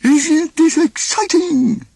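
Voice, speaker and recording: A high, goofy cartoon mountain guide. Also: male voice, slow, gravelly, mellow, dry recording, heavily acted. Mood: eager. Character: cheerful, dim-witted male cartoon mountain guide, overconfident.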